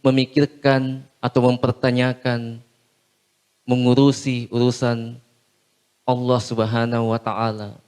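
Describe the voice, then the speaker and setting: A man preaching a short Islamic sermon in a measured, solemn way, phrase by phrase with long pauses. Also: medium pitch, flat delivery, a little mellow, clean tone.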